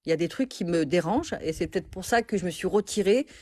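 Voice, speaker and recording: A woman's voice: fairly low pitch, lively intonation, fast, mellow, no echo. Hesitant woman on a live call. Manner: hesitant, restarts often. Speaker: caller.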